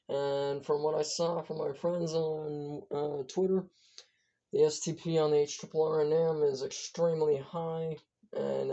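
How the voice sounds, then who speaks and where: A hoarse speaker whose voice is giving out, giving a casual, rambling weather briefing as an amateur forecaster, stumbling and correcting themselves often. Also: mid-range voice, mellow. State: hoarse, losing voice. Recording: dull lo-fi recording.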